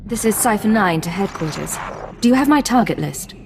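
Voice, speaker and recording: A woman's voice: mid-range voice, animated, fairly quick, noisy background, close to an everyday voice. A woman playing a cool, sardonic female imperial agent in a video game, with dry delivery.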